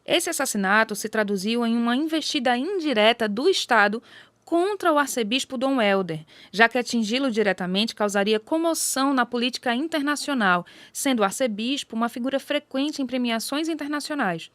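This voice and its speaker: A woman with a fairly high voice and a Brazilian accent delivers scripted documentary narration. The recording is dry, with very little room sound around her. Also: lively intonation, fairly quick, fairly bright tone, no echo.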